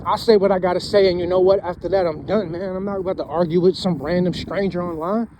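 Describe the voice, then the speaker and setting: A man's voice: sits high, fairly even intonation, quick pace, noisy background. A man of thirty, giving a casual, rambling motivational talk and often tagging lines with "man" and "you know what I'm saying". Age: 30s. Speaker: vlogger.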